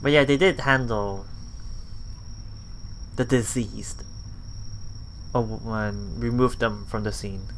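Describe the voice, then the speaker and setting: Slow-paced man with a bright voice. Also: fairly low pitch, steady tone, slight background noise, low-quality recording. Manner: halting delivery with self-corrections and muttered asides. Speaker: let's play host. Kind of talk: reading a visual novel aloud with casual commentary.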